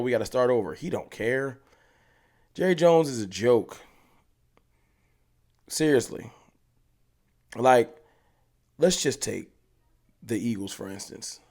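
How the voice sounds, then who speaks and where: A man's voice: mid-range voice, darkish tone. Guy ranting about his team on his channel, heated, repeats himself for emphasis. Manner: passionate, emphatic, repeats points. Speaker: fan channel host. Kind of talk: ranting commentary to camera. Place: room with hats on the wall behind him.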